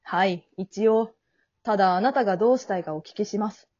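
A woman's voice: mid-range voice, brisk, darkish tone, highs cut off, lightly acted. A woman acting in an amateur radio drama as a polite, kindly male guide of the afterlife, speaking in an earnest, businesslike, reassuring way. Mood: calm, explanatory.

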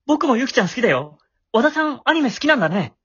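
A man's voice: very high voice, sing-song, fast talker, fairly bright tone, husky, dry recording, lo-fi sound, heavily acted. A man acting a shy, awkward middle-school boy with a crush in an amateur voice drama, flustered and prone to stammering. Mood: flustered, eager.

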